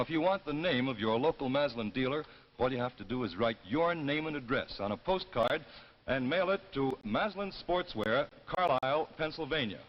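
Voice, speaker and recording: An American commercial announcer, a man with a medium-pitched voice, presents goods to viewers in 1950s television style. The recording is muffled and old, with faint noise under the pitch. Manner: demonstrating products to viewers. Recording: muffled, noisy vintage TV broadcast.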